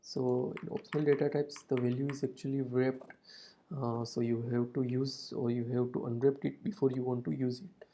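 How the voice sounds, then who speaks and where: A man's voice: medium pitch, flat delivery, normal speed, warm tone. A man whose first language is Hindi, explaining code step by step in a screen-recorded programming tutorial, with frequent "uh" fillers and non-native phrasing.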